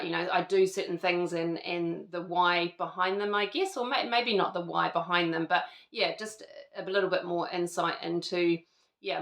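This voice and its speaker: A woman's voice: lowish voice, gravelly, fairly bright tone, echoey room. A middle-aged woman with a New Zealand accent, chatting casually to camera at home, rambling with lots of fillers and restarts. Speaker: vlogger. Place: at home indoors.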